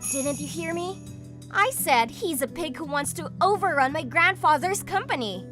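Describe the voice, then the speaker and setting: A woman voicing a haughty young rich heiress, sharp-tongued and scornful, in an anime-style manga dub. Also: high-pitched, expressive, moderate pace, over music. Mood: indignant.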